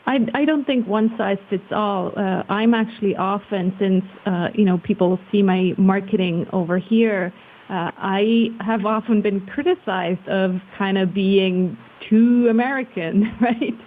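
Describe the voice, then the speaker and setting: A woman's voice: mid-range voice, steady tone, a little slow. A woman from Switzerland speaking as an interview guest, thoughtful and hesitant in casual conversation. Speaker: interview guest, marketing professional. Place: remote call. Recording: muffled phone-like line with hiss.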